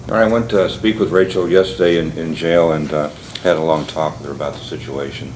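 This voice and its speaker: A man's voice: very deep voice, steady tone, brisk, a little mellow. A man speaking informally to a court as a defense attorney, conversational and somewhat hesitant. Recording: noisy courtroom recording.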